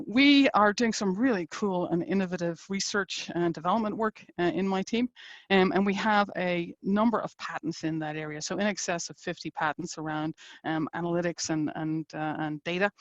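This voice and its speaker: A woman's voice: on the low side, no room sound, muffled recording. Irish woman presenting a company webinar. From Ireland. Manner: conversational, frequent uh and um fillers. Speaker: engineering executive presenting a webinar.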